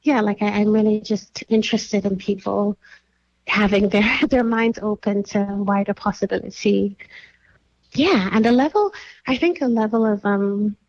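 A woman's voice: medium pitch, fairly even intonation, normal speed, darkish tone, dry recording. Thoughtful woman curator, dry dull sound. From Nigeria. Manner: thoughtful, many fillers and restarts. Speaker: curator and writer. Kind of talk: interview answers.

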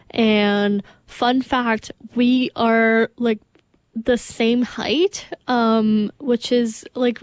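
A woman's voice: a little high, a little mellow, clear, resonant tone, no room sound, studio quality. A young woman from Brazil, a native Portuguese speaker, hosting a music radio show in casual, enthusiastic, rambling chat full of "like". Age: young adult. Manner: enthusiastic, rambling, filler-heavy with frequent "like".

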